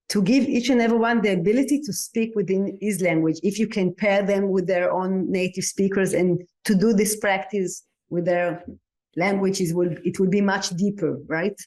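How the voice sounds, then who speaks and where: A woman's voice: mid-range voice, a little mellow. A woman whose first language is Hebrew, talking reflectively on a podcast and sometimes searching for words in English. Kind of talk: casual podcast conversation.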